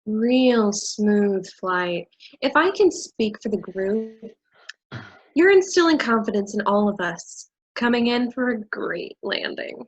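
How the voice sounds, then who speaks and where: A woman's voice: medium pitch, animated, takes it slowly, rough recording. An actress in a staged play reading, playing a sarcastic, malicious woman who drawls her contempt for the people around her.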